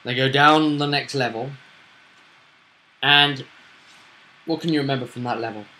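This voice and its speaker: A man's voice: medium pitch, normal speed, bright, forceful tone, poor audio. A man casually recapping a tabletop roleplaying session as game master, with a storyteller's phrasing and tag questions.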